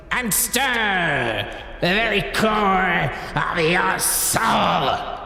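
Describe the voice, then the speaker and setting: A man playing an ominous, grandiose horror show host, with a dramatic, florid and drawn-out delivery. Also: mid-range voice, fairly bright tone, heavily acted. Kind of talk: theatrical horror anthology introduction. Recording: cavernous long reverb, faint noise.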